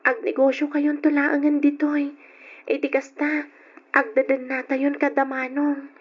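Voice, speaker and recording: A woman's voice: high voice, flat delivery, measured pace. An actress playing a young daughter pleading with her mother in a radio drama. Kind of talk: old Ilocano radio drama acting. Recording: dull, muffled old radio drama recording.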